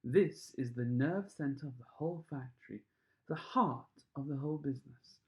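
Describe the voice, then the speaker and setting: A man voicing an excitable, proud, fussy chocolate factory owner in a storybook reading, with gushing exclamations. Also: mid-range voice, normal speed, natural voice. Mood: proud, cautioning.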